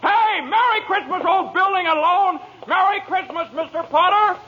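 A man's voice: very high voice, moderate pace. A man acting in an old-time radio drama as an emotional family man given his life back, stammering and repeating himself. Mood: overjoyed. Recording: muffled, noisy old radio recording.

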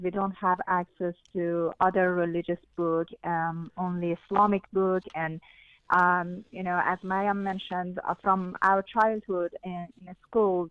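A woman's voice: lowish voice, smooth, no echo. A woman from Iran with a Persian accent, a guest who earnestly recounts her questions and experiences, using many fillers. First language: Farsi. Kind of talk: interview, personal testimony. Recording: tinny phone-like audio.

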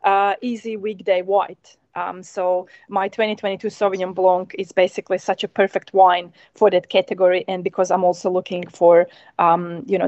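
A woman in her thirties from Croatia with a Croatian accent, a winemaker chatting in an interview, eager and halting with many ums. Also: lowish voice, darkish tone, dry recording. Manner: hesitant, many ums, enthusiastic. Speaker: winemaker guest. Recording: dull, muffled sound.

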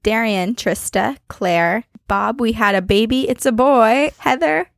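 A woman co-hosting a podcast, chatting casually and reading listener emails aloud in an expressive, conversational way. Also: a little high, unhurried, creaky, dry recording, clean studio sound. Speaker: podcast co-host.

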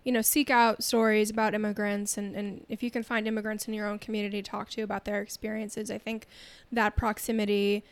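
A woman speaking thoughtfully as a podcast guest, with many soft fillers and restarts. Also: medium pitch, level tone, brisk, clean tone. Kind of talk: casual chat.

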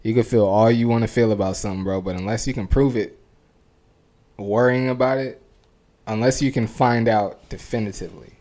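A man co-hosts a laid-back podcast, rattling through stories with plenty of "like" and "you know". His voice sits fairly low and sounds dark and mellow. He talks fast and loose. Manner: fast, rambling storytelling with many fillers. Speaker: podcast co-host. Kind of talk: casual chat. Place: home podcast studio.

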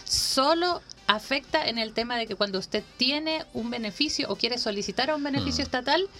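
A woman from Honduras, a university admissions coordinator, explaining the process in a measured way on a radio interview, with many fillers and restarts. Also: on the high side, pushed and bright, faint music behind. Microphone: radio studio microphone.

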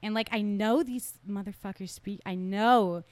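A woman's voice: mid-range voice, normal speed, a little mellow, dry recording. A young woman from Germany with a German accent, a podcast guest chatting casually, joking, swearing and laughing often. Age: young adult. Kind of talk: casual chat.

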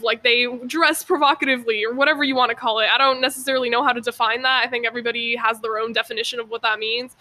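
A woman's voice: a little high, bright, forceful tone. A young woman guesting on a podcast, talking casually and earnestly, emphatic about her opinions. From Persian background. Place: outdoors.

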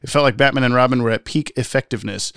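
Guy podcast host, clean clear voice, jokey rambly chat, really dry close sound. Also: medium pitch, very fast, a bit bright, no echo. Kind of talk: casual chat, reading out listener mail.

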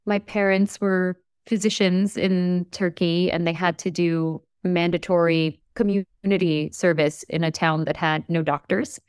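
Articulate, measured woman professor. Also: lowish voice, fairly even intonation, a little mellow, smooth, no room sound, studio quality. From United States. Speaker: English professor. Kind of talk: reflective interview talk.

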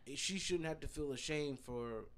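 A man's voice: a little high, moderate pace, fairly bright tone. A man, talking through an opinion with many restarts in a casual podcast chat. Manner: hesitant, restarts while reasoning. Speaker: podcast co-host.

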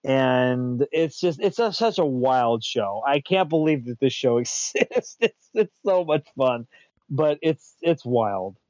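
A man's voice: mid-range voice, lively intonation, takes it slowly, darkish tone, no room sound. A man hosting a casual pop-culture chat, rambling, restarting and repeating his words and chuckling as he goes. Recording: dull lo-fi dry sound.

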